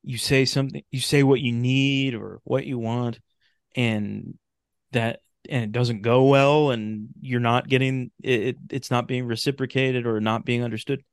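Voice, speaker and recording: A man in a casual podcast conversation, talking in a halting, rambling way and often restarting his phrases. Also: mid-range voice, steady tone, smooth, no echo.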